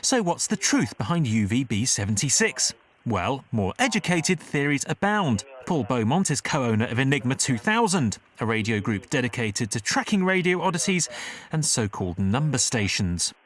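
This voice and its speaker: A man's voice: medium pitch, big pitch swings, moderate pace. A man reading scripted investigative narration as a documentary narrator.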